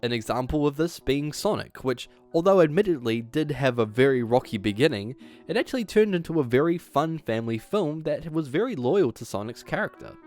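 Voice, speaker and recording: A man's voice: fairly high pitch, expressive, brisk, darkish tone, soft background music. A man hosting a video essay, reading a script with emphatic delivery and dropping in casual asides.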